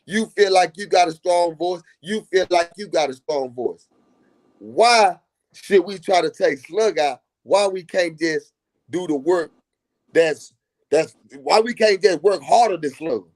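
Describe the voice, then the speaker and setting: An earnest man from Dallas with a drawl, speaking fairly high for a man. He preaches his point in a casual live chat and hammers it home by repeating lines. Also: animated, a little slow, low-quality recording. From Dallas, Texas.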